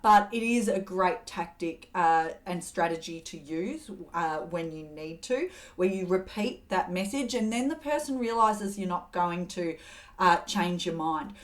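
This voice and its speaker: A middle-aged woman hosting an advice show, coaching in a steady, earnest way and acting out example phrases. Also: fairly low pitch. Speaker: host giving communication advice. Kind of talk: advice talk to camera.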